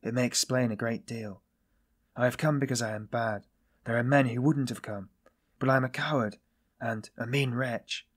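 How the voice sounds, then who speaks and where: Guy doing a weary tortured man confessing, raspy voice, bitter and halting, audiobook style. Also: mid-range voice, fairly even intonation, natural voice. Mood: self-loathing. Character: tormented, weary, self-loathing man confessing. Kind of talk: dramatised audiobook dialogue.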